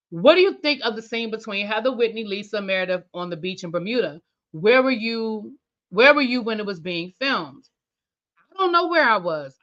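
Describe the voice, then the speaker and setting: A woman host reads a magazine interview aloud on her review stream, stopping often for loose, chatty asides to her viewers. Her voice is bright and forward. The sound is a little dull and lo-fi. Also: medium pitch. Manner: casual, chatty asides between read-out passages. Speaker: reality TV reviewer, channel host. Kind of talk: livestream review, reading an article aloud.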